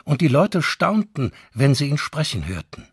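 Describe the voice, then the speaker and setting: Husky, animated chronicler. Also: male voice, fairly high pitch, moderate pace, dry recording, clean studio sound, exaggerated voice. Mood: grave, dramatic. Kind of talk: dramatic reading of historical quotations.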